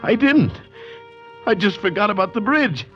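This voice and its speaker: An actor playing a troubled, guilt-ridden painter in 1940s radio melodrama style. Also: male voice, high-pitched, very animated, normal speed. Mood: anguished. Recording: dull 1940s radio recording with music.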